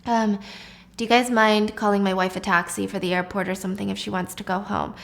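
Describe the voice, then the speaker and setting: A woman voicing a manipulative husband who talks softly, with weary, condescending patience. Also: mid-range voice, flat delivery, a little fast, creaky, close to an everyday voice. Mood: patronising. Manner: soft, placating, sighing, condescending.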